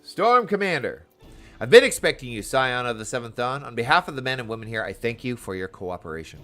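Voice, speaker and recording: A man's voice: medium pitch, sing-song, fairly quick, fairly bright tone, faint music behind. A man voicing a stern, earnest garrison commander from a fantasy game, speaking in formal, archaic military language. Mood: formal, resolute. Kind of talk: reading game dialogue aloud in character.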